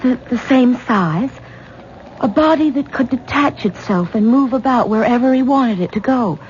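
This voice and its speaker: A woman playing an anxious, exasperated wife in a 1970s radio drama, halting and repeating words as she insists. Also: on the high side, animated, darkish tone, close to an everyday voice. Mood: earnest, uneasy. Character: anxious, exasperated wife and young mother, adult daughter. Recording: dull, noisy 1970s radio broadcast recording.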